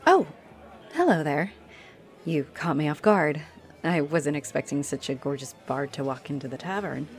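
Low-voiced flirty halfling orc played by a woman. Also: expressive, normal speed, over music. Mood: playful, flirty. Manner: teasing, chuckling, coy. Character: friendly, flirty, playful female halfling orc adventurer. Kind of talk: roleplay audio addressed to the listener. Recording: noticeable noise behind the voice.

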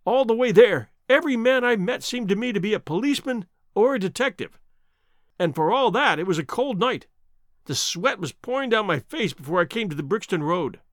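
A man voicing a frightened, cringing thief in an audiobook, pleading and stammering with a cracked, shrill voice. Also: animated, a little fast, no echo, clean studio sound. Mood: anxious confession. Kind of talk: dramatic audiobook character reading.

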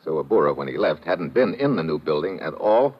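Dogged detective, very low pitch, clipped slangy delivery, old radio drama, dull vintage recording. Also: male voice, big pitch swings, fairly quick, heavily acted. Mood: probing. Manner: clipped slangy hard-boiled talk. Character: tough wisecracking male detective, dogged and wry. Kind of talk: old-time radio drama acting and narration.

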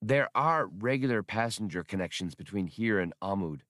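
A man's voice: fairly low pitch, lively intonation, moderate pace, a bit bright, no echo, clean studio sound. A man voicing an earnest, worried professional woman in an audiobook, speaking in a proper, questioning way. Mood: thoughtful. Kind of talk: audiobook character dialogue.

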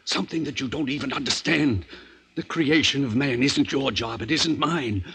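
Grave, moralizing friend in radio drama. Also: male voice, medium pitch, moderate pace, vocal fry, sharp tone, husky, exaggerated voice. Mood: grave reproach. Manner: earnest, pleading, grave. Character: scientist's horrified, moralizing male friend and colleague. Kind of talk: 1950s radio drama acting. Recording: noisy 1950s radio broadcast recording.

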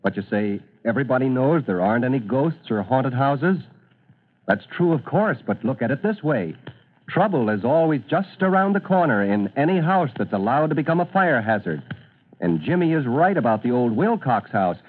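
A man's voice: medium pitch, big pitch swings, normal speed. A man narrating a scripted serial in an old-time radio announcing style. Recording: narrow-band old radio recording.

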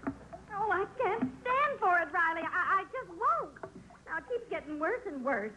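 A woman's voice: extremely high pitch, sing-song, a little slow, pushed and bright, heavily acted. An actress playing an earnest, principled middle-aged mother who pleads and scolds in an old-time radio sitcom. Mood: anxious. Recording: muffled, noisy vintage radio recording.

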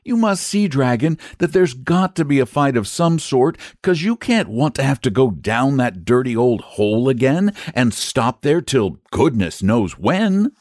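A man's voice: medium pitch, big pitch swings, a little slow, few pauses, a little mellow, no echo, studio quality, voice pushed up. A man voicing a blunt, practical young boy in a storybook, insistent and questioning. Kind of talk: storybook character voice.

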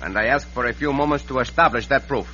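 An actor playing a confident, shrewd Mexican cowboy hero in a radio western, speaking formally and courteously with a Mexican accent. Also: male voice, mid-range voice, quick pace, sharp tone, natural voice. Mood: assured, probing. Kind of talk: 1940s-50s radio western acting. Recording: noisy muffled old radio recording.

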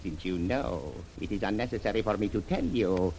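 An actor playing a courteous, shrewd Chinese shipping magnate in a radio adventure, speaking formally and in measured proverbs. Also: male voice, lowish voice, darkish tone, natural voice. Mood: grave, courteous. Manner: formal, measured, proverb-laden, dropping articles. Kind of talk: old-time radio adventure acting. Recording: noisy vintage radio drama recording.

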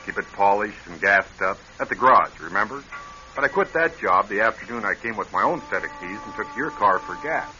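Smug radio crook, animated, muffled. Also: male voice, lowish voice, brisk, slight background noise. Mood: gloating. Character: menacing, smug male crook, coolly vengeful. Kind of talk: radio drama acting. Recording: muffled old radio show with music.

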